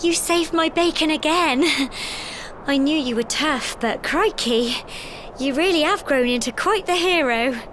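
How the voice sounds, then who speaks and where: An actress playing a plucky young village girl in a video game, with a chatty, expressive, folksy way of talking. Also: high voice, a little slow, slight background noise. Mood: warm, relieved. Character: cheerful plucky village girl on coming-of-age climb. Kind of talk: video game cutscene voice acting. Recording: game music behind the voice.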